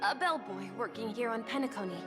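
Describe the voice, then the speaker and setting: A woman's voice: fairly high pitch, animated, a little fast, lightly acted. A woman playing a courteous, earnest, slightly hesitant young hotel bellboy in a video game. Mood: polite, welcoming. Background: game music.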